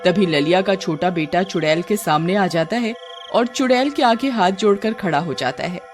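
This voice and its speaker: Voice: medium pitch, moderate pace. A narrator telling a scripted horror story in the third person. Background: music.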